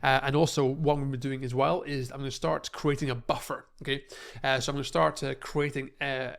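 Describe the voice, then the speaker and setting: Nasal man with a gravelly voice. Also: medium pitch, little pitch movement, a little fast, a bit bright. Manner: repetitive, lots of fillers and restarts, addresses viewers as guys. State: slightly nasal from a cold. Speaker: online business coach. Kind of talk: casual screen-share tutorial. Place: small home office room. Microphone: desk microphone in front of him.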